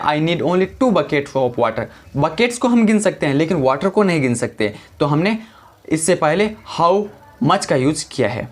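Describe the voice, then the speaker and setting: Calm man teaching step by step. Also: a little high. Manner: reads English examples slowly and deliberately; calm step-by-step explaining, repeats "guys". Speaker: English teacher. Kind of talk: explaining lesson to camera.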